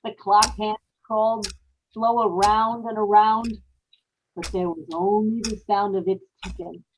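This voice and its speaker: A woman's voice: medium pitch, fairly even intonation, slight background noise, natural voice. Woman acting a haunted, unhinged murderous wife. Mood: tense dread. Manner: intense, emphatic, repetitive storytelling with dramatic pauses. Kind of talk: old-time radio horror acting, dramatic monologue. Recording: dull lo-fi live radio-play audio.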